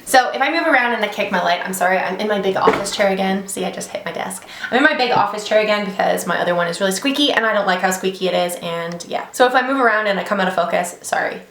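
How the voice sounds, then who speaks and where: A young woman hosts her channel from a small home office, reading a story aloud in a quick, chatty way. She breaks off often for blunt, swearing reactions. Her voice carries a noticeable room echo. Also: mid-range voice, fairly bright tone, slight background noise. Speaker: beauty channel host reading stories. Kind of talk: casual vlog storytelling with asides.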